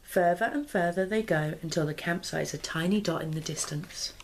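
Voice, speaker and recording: A woman's voice: on the low side, fairly quick. A woman in her thirties reading a children's storybook aloud expressively. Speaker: mother and parenting vlogger. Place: small living room, indoors.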